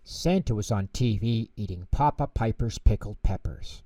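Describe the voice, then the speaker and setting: A man reading a children's bedtime story in an expressive, theatrical narration style, recorded in a roomy space from a distance. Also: lowish voice. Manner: measured, theatrical delivery with character voices. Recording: roomy echo, distant microphone.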